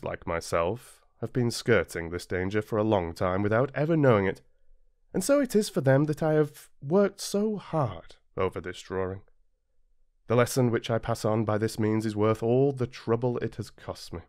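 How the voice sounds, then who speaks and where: A British man narrating a storybook as an audiobook, reading expressively and deliberately with dramatic pauses. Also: fairly low pitch, expressive, a little mellow, no echo, studio quality. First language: English. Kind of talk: scripted storybook narration, read-along.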